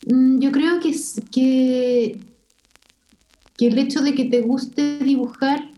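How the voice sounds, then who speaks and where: A woman from Chile with a Chilean Spanish accent, an illustrator speaking thoughtfully as an interview guest and pausing often with fillers. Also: high voice, steady tone, mellow, soft background music, low-quality recording. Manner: thoughtful, hesitant with many fillers. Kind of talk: casual interview conversation.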